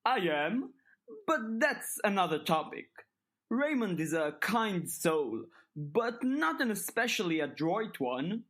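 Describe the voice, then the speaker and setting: A woman voicing a smooth, calculating older male headmaster in an audiobook, speaking in measured, formal, articulate sentences with polite manipulation. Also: medium pitch, big pitch swings, pitched above the performer's own voice. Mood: calm, explaining. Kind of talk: dramatized audiobook dialogue.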